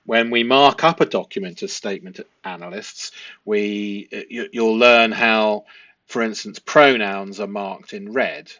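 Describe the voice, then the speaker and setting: Low-voiced man analysing, dull muffled recording. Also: steady tone, a little slow, sharp tone, no room sound. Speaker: host and statement analyst. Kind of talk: explanatory analysis of a transcript, part read aloud.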